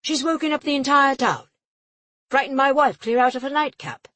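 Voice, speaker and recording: A self-important English port official, clipped and fussy, barking his complaints, as a woman puts him on for a storybook. His voice pushes loud and indignant, with a husky rasp to the tone. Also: on the high side, a little slow, dry recording, pitched below the performer's own voice, heavily acted. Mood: blustering, indignant. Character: pompous, blustering older male port official.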